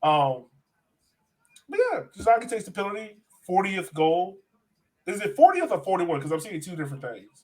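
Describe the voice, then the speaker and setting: A man's voice: high-pitched, sing-song, moderate pace. A man hosting a casual sports fan podcast, talking in a conversational, self-questioning way. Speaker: podcast host.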